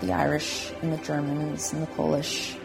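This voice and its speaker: A person telling a personal immigration story in a plain, matter-of-fact way. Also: male voice, on the high side, completely flat delivery, normal speed, gravelly, over music, poor audio. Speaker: interviewee. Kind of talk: personal testimony.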